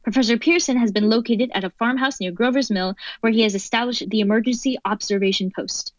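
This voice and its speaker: A woman's voice: a little high, quick pace, dry recording, lightly acted. A woman playing an urgent, formal news announcer in a radio play. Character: brisk female radio news announcer relaying disaster bulletins. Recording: dull lo-fi sound, music behind.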